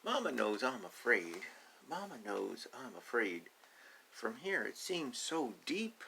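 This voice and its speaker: A man voicing a timid, excitable little duckling in a storybook, with drawn-out exclaiming lines. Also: medium pitch, vocal fry, slight background noise, pitched below the performer's own voice, exaggerated voice. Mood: fearful.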